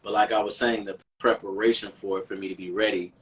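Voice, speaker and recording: A man's voice: lowish voice, fairly even intonation, fast talker, recorded from a distance, a little hiss or hum. A man from Chicago, a singer guest in a casual interview, talking in a reflective, earnest way and often repeating words as he thinks. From Chicago, USA.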